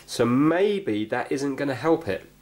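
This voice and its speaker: A man's voice: medium pitch, animated, a little slow, a little mellow. A man casually narrating hands-on repair work, thinking aloud and explaining each step in a tentative way. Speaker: host of a repair video. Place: indoors at a cluttered workbench. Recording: clear background noise, clicks from handling.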